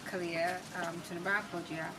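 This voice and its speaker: An American woman talks conversationally as a guest, explaining her own experience. Her voice is a little lower than average. She sounds somewhat away from the microphone, with clear noise around her. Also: little pitch movement, a little fast. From California, United States. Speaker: interview guest. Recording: distant mic with clear background noise.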